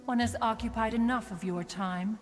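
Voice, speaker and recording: A woman's voice: fairly high pitch, normal speed, natural voice. A woman playing an ancient, dignified crane immortal in a video game, speaking in a formal, archaic and haughty manner. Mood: stately. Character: dignified, proud crane adept, ancient female immortal master. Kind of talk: scripted video game voice acting. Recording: long reverberant tail, music underneath. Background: background music.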